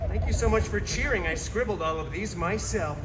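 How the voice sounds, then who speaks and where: An actor playing a cheeky, chatty superhero who tells a comic story to a live crowd, theatrical and sardonic with teasing asides. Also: male voice, sits high, fairly quick, natural voice. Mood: playful, sardonic. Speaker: costumed theme park storyteller. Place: live outdoor theme park stage. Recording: echoey park PA, loud music and crowd.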